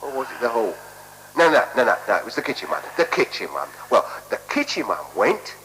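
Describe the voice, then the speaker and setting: An actor playing a shabby, apologetic hotel keeper in a radio play, rambling and repeating himself deferentially. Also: male voice, mid-range voice, big pitch swings, a little fast, fairly bright tone. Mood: rambling, explaining. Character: garrulous, apologetic, shabby male hotel keeper with endless excuses. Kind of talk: 1970s radio play acting. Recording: humming, noisy 1970s radio recording.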